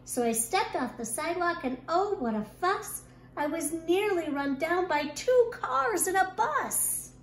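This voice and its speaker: A woman kindergarten teacher reading a rhyming picture book aloud to young children in an expressive, deliberate storytelling manner. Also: extremely high pitch. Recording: echoey room with clear background noise.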